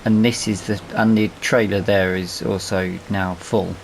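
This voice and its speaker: A man's voice: on the low side, level tone, measured pace, gravelly, a little hiss or hum, poor audio. A British man giving casual, unscripted let's play commentary on a video game, thinking aloud as he plays. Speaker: gaming video host. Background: farming game vehicle and ambience sounds.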